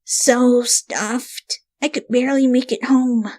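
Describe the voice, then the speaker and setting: A woman's voice: on the high side, steady tone, slow, darkish tone, no room sound, voice pushed up. A woman voicing a brash, lazy, unrepentant young female pony in a story reading, casual and blunt. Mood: sated, sluggish.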